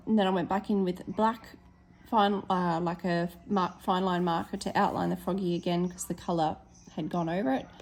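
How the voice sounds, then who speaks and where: An Australian woman, a teacher with a slightly hoarse voice, chatting casually with lots of ums as she shows her colouring pages. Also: medium pitch, darkish tone. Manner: chatty, many ums, corrects herself. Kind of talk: casual flip-through chat.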